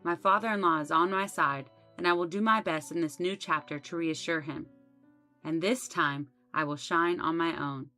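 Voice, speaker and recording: A woman's voice: low-pitched, normal speed, bright, forceful tone. A woman reading a scripted first-person story as its narrator. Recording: music behind the voice. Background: background music.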